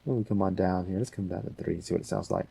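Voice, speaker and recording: A man hosting a casual software tutorial, talking informally and thinking aloud as he goes. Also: deep voice, racing, vocal fry, husky. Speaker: audio editing tutorial host.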